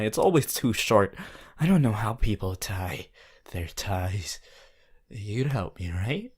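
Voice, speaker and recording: A voice actor playing a possessive, unhinged yandere lover in an ASMR roleplay, slow, intimate and breathy, swinging between tender and manic. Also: mid-range voice, full character voice. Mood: soft, coaxing. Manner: slow, drawn-out, intimate, swelling from whispers to loud outbursts.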